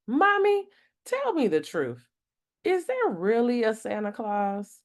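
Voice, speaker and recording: A woman's voice: fairly high pitch, sing-song, slow, pitched above the performer's own voice, heavily acted. A woman voicing an earnest, curious little girl in a picture book, with a wondering, questioning manner. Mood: curious, worried.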